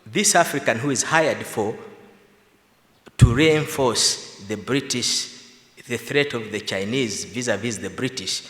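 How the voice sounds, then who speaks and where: A man's voice: mid-range voice, measured pace. A man speaking as a panelist, arguing his points with animation. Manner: animated, argumentative, laughs between points. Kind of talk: panel discussion remarks. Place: large conference hall. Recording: echoey conference hall with long reverb.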